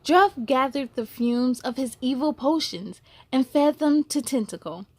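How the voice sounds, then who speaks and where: A woman reading a children's story aloud as host, expressive and exclamatory, speaking to young listeners. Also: sits high, no room sound. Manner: slow, deliberate, exclamatory delivery for children.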